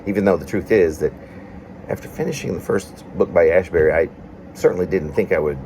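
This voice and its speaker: A man reading his own reflective, personal writing in a meandering, halting way, with pauses between phrases. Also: sits low, darkish tone, slight background noise. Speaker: poet reading own work.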